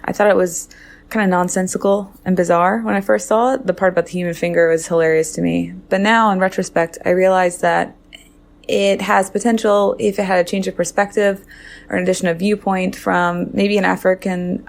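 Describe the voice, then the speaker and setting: A woman's voice: medium pitch, steady tone, a little fast, creaky. A woman giving casual spoken commentary as a listener, in a reflective, personal way. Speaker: podcast listener giving episode opinions.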